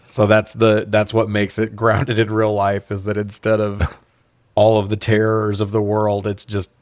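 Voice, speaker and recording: A man with a fairly low voice speaks in a very dry, close recording. He recaps a movie casually as a podcast co-host. His delivery is sarcastic, profane and amused. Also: monotone, moderate pace, no echo. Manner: sarcastic, profane, laughs through remarks.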